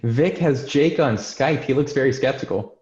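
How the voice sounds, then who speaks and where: A man narrates screenplay action lines for a table read. His voice is medium in pitch. The sound is echoey and muffled, as on a video call. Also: normal speed, a little mellow. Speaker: stage directions reader.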